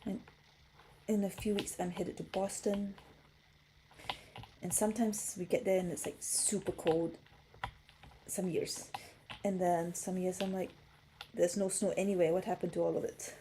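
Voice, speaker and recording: A woman's voice: fairly low pitch, steady tone, a little slow, long pauses, noisy background. A middle-aged woman from Singapore hosting a puzzle livestream, chatting casually and answering comments from viewers.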